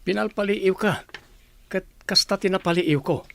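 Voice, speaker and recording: Man acting creaky, blunt young boyfriend. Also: sits high, normal speed, a bit bright. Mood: blunt, reproachful. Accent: Ilocano. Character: disillusioned young village boyfriend, blunt and regretful. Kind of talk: Ilocano comedy radio drama acting.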